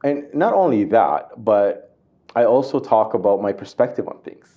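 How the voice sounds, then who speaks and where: A man's voice: on the low side, sing-song, moderate pace, narrow, tinny audio. A man who speaks Somali as his first language and grew up in Canada, chatting as a guest in an interview. He talks easily and repeats words while he looks for the right phrase. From Somali, came to Canada at nine. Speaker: comedian and comedy club organizer. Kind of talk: casual interview chat.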